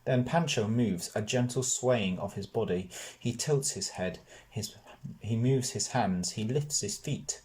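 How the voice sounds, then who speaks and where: Lowish-voiced man reading a children's novel. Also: measured pace, a little mellow, a little hiss or hum. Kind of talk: expressive storybook narration.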